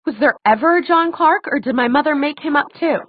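A woman narrator plays a teenage heroine of an audiobook, emotional and incredulous. Her voice sits high and swings with feeling, every objection leaned on. The recording is dry and slightly dull. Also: brisk, no room sound, close to an everyday voice. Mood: agitated. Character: upset, sarcastic teenage girl heroine.

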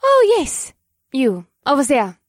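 A woman playing a perky, poised witch professor in an audiobook, bright and encouraging in manner, with polite, eager delivery. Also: sits high, huge pitch swings, slow, dry recording, studio quality, full character voice. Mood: cheerful, amused. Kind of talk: audiobook character dialogue.

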